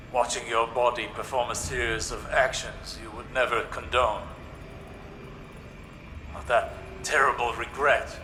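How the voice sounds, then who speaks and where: Give me a man playing a measured, formal scholar. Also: mid-range voice, noisy background, lightly acted. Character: calm, scholarly, careful male warlock researcher. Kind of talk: video game dramatic dialogue.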